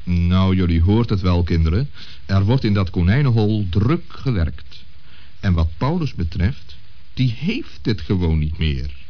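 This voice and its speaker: Low, lively male children's storyteller. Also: measured pace, a little hiss or hum. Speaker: storyteller, narrator. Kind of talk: scripted narration of a children's radio play, addressing children. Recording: muffled old radio recording.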